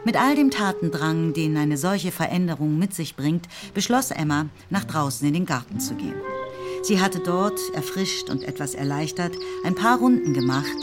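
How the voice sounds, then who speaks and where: A woman narrating a literary radio drama in scripted prose, with birdsong behind her. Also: low-pitched.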